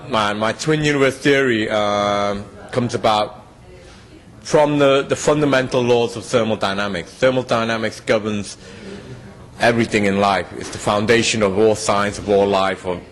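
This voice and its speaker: A middle-aged man lays out a scientific theory in a long, halting explanation. His voice sits a little low, and he keeps pausing on fillers and restarting his sentences. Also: fairly bright tone. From England. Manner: hesitant, many fillers and restarts. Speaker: physicist interviewee.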